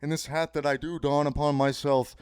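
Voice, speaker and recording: A man's voice: a little high, little pitch movement, a little fast, clean tone, dry recording, clean studio sound. A man hosting a solo podcast, delivering a rambling, grandiose, pseudo-philosophical monologue that repeats itself and breaks into sudden profanity. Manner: repetitive, circling phrasing. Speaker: podcast host.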